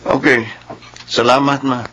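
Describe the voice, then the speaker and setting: A man's voice: mid-range voice, flat delivery, measured pace, a bit bright, poor audio. Curt, brooding young man. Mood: defensive, weary. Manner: curt, dismissive. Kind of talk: Filipino radio drama acting.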